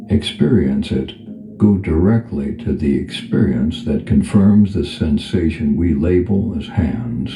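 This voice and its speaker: A man leading a guided meditation as a calm spiritual teacher, speaking in a gentle, unhurried, instructional manner. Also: extremely low pitch, dull audio. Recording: echoey reverb over background music. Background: music.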